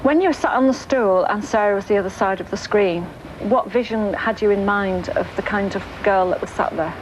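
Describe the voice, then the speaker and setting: A woman interviews a contestant, asking her questions briskly. She speaks at a mid pitch. Faint noise hangs behind her. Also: poor audio.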